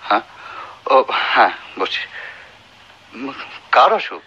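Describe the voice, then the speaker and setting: A man's voice: medium pitch, big pitch swings, takes it slowly, pushed and bright, husky, exaggerated voice. A man playing a proud, weary doctor in a Bengali radio play, speaking formally, by turns brusque and musing. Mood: polite, uneasy. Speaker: radio drama actor. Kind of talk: dramatic radio play dialogue and soliloquy. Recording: noisy, muffled old radio drama recording.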